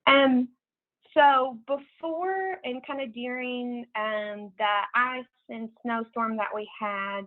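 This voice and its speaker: An American woman giving informal announcements as a program coordinator on a conference call, in a casual, halting way over a tinny phone line. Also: on the high side. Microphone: telephone conference call.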